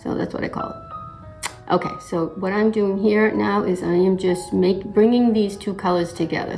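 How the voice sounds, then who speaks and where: A middle-aged woman with a slight cold and a little raspy voice, talking through a makeup tutorial step by step in a relaxed, casual way and clearing her throat now and then. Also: on the low side, poor audio. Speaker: makeup vlogger.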